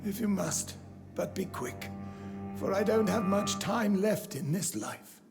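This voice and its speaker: An actor playing a dying, rich and ruthless old British industrialist in a video game, with a pompous, theatrical, formal delivery. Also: male voice, high-pitched, animated, slow pace, over music, exaggerated voice. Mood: imperious, weary. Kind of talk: video game dialogue acting.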